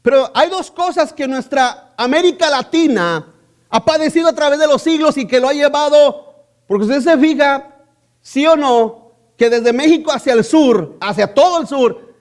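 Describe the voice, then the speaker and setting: High-voiced man preaching with rhetorical questions. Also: moderate pace. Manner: emphatic, repeats key phrases. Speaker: pastor. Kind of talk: sermon.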